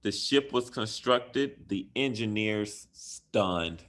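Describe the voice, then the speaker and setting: A man with a lowish voice reads a picture book to children at an unhurried pace. He leans into big, animated rises of emphasis on the story's key lines. Between pages he talks plainly to the kids and parents like a school administrator.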